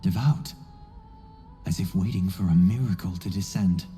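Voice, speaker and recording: A man's voice: low voice, moderate pace, warm tone, soft background music, close to an everyday voice. A man voicing a thoughtful, reverent philosopher type in a video game, formal and poetic in his phrasing. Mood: pensive, reverent.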